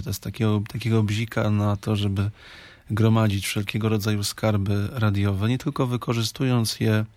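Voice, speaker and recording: A male interview guest speaks reflectively, with a halting flow. His voice is on the low side and recorded in a dry studio. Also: darkish tone, dry recording. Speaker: interview guest, radio drama researcher. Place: radio studio.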